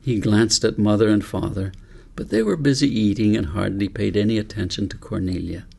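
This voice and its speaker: A man narrating a children's audiobook in a measured, deliberate storytelling manner. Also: on the low side, creaky, a little mellow, breathy edge. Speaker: author reading own book aloud.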